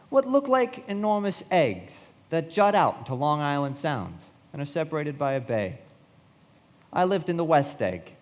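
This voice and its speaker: Casting: reflective young bond man narrating a radio play, lively higher voice, reverberant hall. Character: reflective well-bred young bond salesman narrating his memories. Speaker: lead actor and narrator. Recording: echoey hall, live stage.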